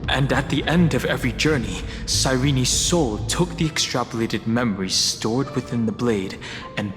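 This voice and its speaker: A man playing a solemn, composed scholar in a video game, explaining things gravely and deliberately. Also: medium pitch, close to an everyday voice. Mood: solemn, reflective. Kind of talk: dramatic game story exposition. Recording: long reverb, music behind. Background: music.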